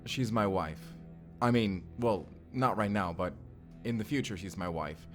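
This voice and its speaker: A man's voice: lowish voice, a little slow, soft background music, close to an everyday voice. A man playing a bewildered, apologetic reluctant recruit in a time-travel drama, speaking informally and hesitantly. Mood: sheepish, apologetic. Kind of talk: cinematic audio roleplay acting.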